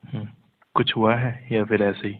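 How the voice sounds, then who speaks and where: Male on a phone call, monotone casual chat, narrow tinny line. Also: on the low side, moderate pace, slight background noise. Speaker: call recipient in a phone conversation.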